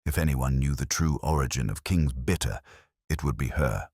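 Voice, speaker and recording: A man narrating a mystery story in a measured storytelling manner, with a husky voice. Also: rumbling low, darkish tone, dry recording, studio quality.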